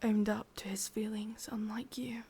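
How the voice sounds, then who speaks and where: Breathy whispering English witch, played by a woman. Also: mid-range voice, flat delivery. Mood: smug, knowing. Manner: soft, intimate whispering, teasing and unhurried. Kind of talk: whispered ASMR roleplay.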